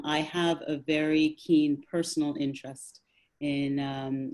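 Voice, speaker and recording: A woman investor from Nigeria introducing herself on an online panel, measured and hesitant with ums. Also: rumbling low, darkish tone, low-quality recording. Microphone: video call.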